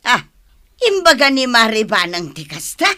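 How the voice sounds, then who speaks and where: Reproving Ilocano village woman, bright voice. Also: a little high, very animated, moderate pace. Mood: puzzled. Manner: reproving, earnest. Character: sensible village woman who scolds a gossip, defending others. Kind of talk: Ilocano radio drama acting.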